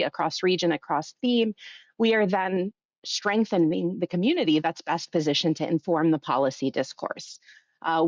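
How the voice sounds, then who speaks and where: A woman's voice: fairly low pitch, no room sound, lo-fi sound. A woman in her thirties, from Egypt and raised in the US, giving long, earnest, articulate answers as a guest in a podcast interview. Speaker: guest, think tank executive director.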